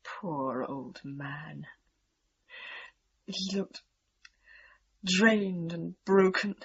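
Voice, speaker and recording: An actress playing a distressed, compassionate young wife who speaks in a hushed, hesitant way in a radio play. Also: female voice, lowish voice, very slow, breathy edge, lo-fi sound, full character voice. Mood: sad, worried.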